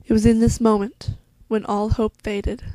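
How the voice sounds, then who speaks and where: A woman playing a solemn, wise elf queen who narrates a fantasy monologue in a hushed, deliberate way, with a soft voice that slips toward a whisper. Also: a little high, level tone, dry recording, natural voice, low-quality recording. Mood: grave. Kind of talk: slow dramatic fantasy monologue.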